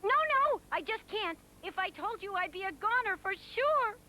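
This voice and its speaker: A woman voicing an anxious, melodramatic barber who is bursting with a secret, in an animated fairy tale. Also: very high-pitched, moderate pace, full character voice. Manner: exclamatory, melodramatic.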